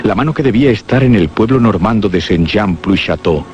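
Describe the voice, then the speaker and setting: Very deep male horror narrator, muffled. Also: expressive, a little mellow, slight background noise. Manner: dramatic, with suspenseful pauses. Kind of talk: scripted suspense and horror radio narration. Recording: muffled radio drama recording. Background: prominent music.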